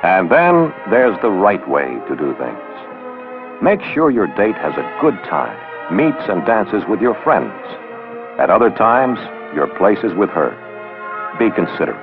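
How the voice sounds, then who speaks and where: A low-voiced man narrates a 1960s training film. He instructs in a lively, rising and falling delivery with dry sarcastic asides. The old soundtrack is dull, with music behind him. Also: a little slow. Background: background music.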